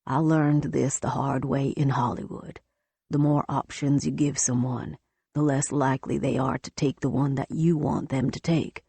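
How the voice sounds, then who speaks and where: A woman voicing a controlling, wealthy older father who lectures his daughter in a smooth, knowing way, with a gravelly, husky low voice. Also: fairly even intonation, moderate pace, dark tone, no room sound, heavily acted. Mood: lecturing. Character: controlling older rich father, calm and scheming.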